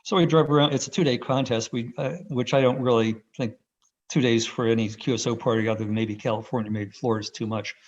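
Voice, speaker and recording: A man's voice: mid-range voice, steady tone, fast. Man rambling over dull call audio. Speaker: amateur radio contest operator. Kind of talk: unscripted first-person account on a video call. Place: video call. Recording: dull, band-limited video call audio.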